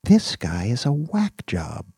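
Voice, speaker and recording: A man's voice: mid-range voice, wildly swooping pitch, slow pace, vocal fry, warm tone, slightly rough, no echo, clean studio sound, voice pushed up, heavily acted. A man voicing an eager, naive young male college student in an audiobook, chatting in casual slang. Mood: smug, scheming. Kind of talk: audiobook character dialogue.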